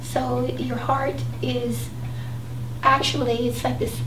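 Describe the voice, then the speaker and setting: A soft-spoken woman with a high, light voice talks through a tarot reading. She speaks gently and haltingly, with many pauses. The sound is distant and roomy, with a steady hum behind it. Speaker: tarot reader co-host. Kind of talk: casual tarot reading chat. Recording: echoey room, distant mic, noisy hum.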